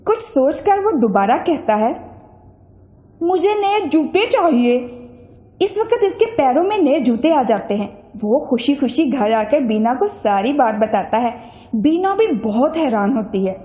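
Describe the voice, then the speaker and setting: A woman's voice: sits high, expressive, moderate pace, dark tone. A woman narrating a scripted moral story for children. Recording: roomy echo.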